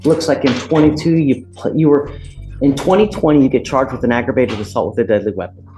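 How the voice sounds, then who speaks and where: A man's voice: medium pitch, fast talker, low-quality recording. A man with an American accent speaking as a judge in a courtroom, stern, blunt and colloquial as he addresses people from the bench. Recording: courtroom audio with background music.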